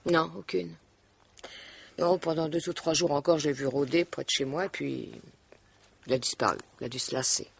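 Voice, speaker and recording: An actress playing a divorced woman, gracious and evasive, in an expressive radio drama style. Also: sits low, moderate pace, gravelly, pauses often, slightly rough, full character voice. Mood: relieved. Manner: expressive exclamations, coy deflection. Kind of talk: 1950s French radio drama acting.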